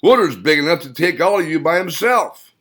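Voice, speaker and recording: A scornful old clan patriarch, as a male narrator puts him on, taunting in a high, strained, loud voice. He sounds like a mocking older man who believes his side holds every card. This is an audiobook villain with a biting edge. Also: animated, fairly quick.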